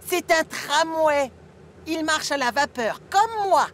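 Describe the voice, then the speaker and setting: Woman as a friendly, confident cartoon steam engine: high, unhurried. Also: lively intonation, a little hiss or hum. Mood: cheerful. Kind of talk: cartoon dub acting.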